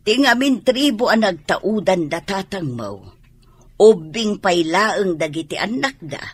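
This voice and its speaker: A woman's voice: mid-range voice, sing-song, a little slow, breathy edge. An actress with an Ilocano accent playing a concerned middle-aged village mother resigned to custom in a radio drama.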